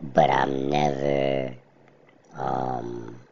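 Man with a very deep, gravelly voice, slow and steady monotone delivery; casual monologue, dull lo-fi sound. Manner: steady, plainspoken, self-described monotone. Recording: dull lo-fi recording.

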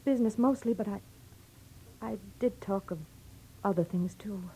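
An actress playing an anxious, lovestruck girlfriend in a 1940s-style radio detective drama, with a soft, breathy voice. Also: mid-range voice, moderate pace. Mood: worried. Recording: dull-toned old radio drama.

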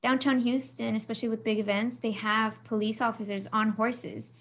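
A chatty woman from Mexico, chatting as an interview guest over a remote call. Her voice sits a little high, and she laughs often and lets her sentences trail off. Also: little pitch movement, moderate pace, a bit bright. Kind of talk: casual interview chat.

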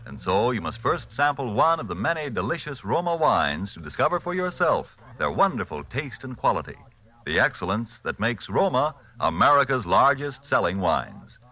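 Male vintage radio ad announcer: polished, emphatic, swooping intonation, bright projection. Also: lowish voice. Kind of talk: 1940s radio commercial read. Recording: vintage 1940s radio broadcast.

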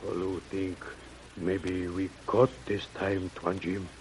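A man acting a loyal, fearful native jungle sidekick, speaking halting, deferential broken English in vintage radio adventure style. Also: sits low, level tone. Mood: anxious, resigned. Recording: noisy vintage radio recording.

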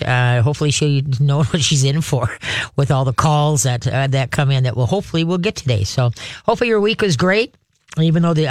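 A man hosts a radio show in a chatty, rambling way, with a voice a little higher than most men's. He talks straight into a studio mic, and the sound is dry and close with no room around it. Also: no echo. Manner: chatty, rambling, laughs at own remarks, frequent fillers. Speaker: radio show host on dogs. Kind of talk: casual radio talk monologue. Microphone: studio microphone.

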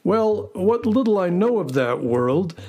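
A man voicing a kindly, excitable, helpful space horse in a children's audio story, with folksy, theatrical emphasis. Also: sits high, a little slow. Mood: kindly, musing. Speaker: voice actor.

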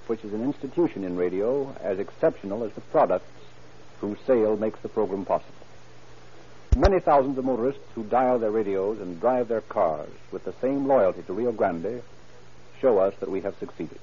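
Formal 1930s radio announcer, muffled sound. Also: male voice, fairly low pitch, dark tone, slight background noise. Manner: formal, earnest. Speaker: announcer and narrator.

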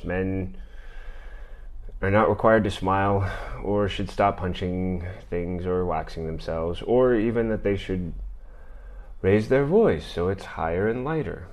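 Middle-aged male podcast host, very low deep voice, thoughtful pacing. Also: a little mellow. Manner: measured, thoughtful delivery with occasional fillers. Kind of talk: reading and commenting on an argument.

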